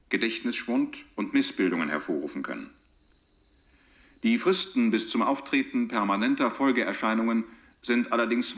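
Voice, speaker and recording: An actor playing a documentary narrator in a radio drama, reporting gravely and factually in a formal, measured manner. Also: male voice, mid-range voice, a bit bright, lightly acted.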